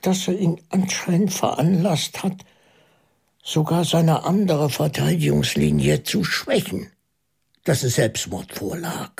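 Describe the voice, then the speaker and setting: An actor playing a pondering priest detective in a German radio play, methodical and intense, musing aloud with halting emphasis. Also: male voice, on the high side, creaky, a little mellow, breathy edge, exaggerated voice. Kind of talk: German radio play dialogue, deliberate monologue.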